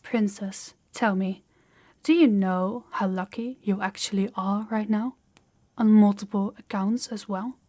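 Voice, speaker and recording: A haughty royal princess, acted by a woman in an intimate audio drama, addressing her captive listener. Her speech is measured and formal, with a condescending edge, at a middling feminine pitch. She carries herself as someone used to command and bound by duty. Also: a little mellow, close to an everyday voice. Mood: smug, condescending. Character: haughty captor princess, commanding yet awkward and dutiful. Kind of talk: audio roleplay acting, spoken to the listener.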